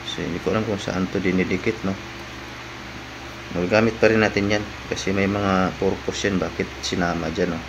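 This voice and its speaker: Male vlogger, lower register, informal stop-and-start product walkthrough, recorded with clear room noise. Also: little pitch movement. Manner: informal, halting, often tags phrases with "no?". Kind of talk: casual unboxing vlog narration.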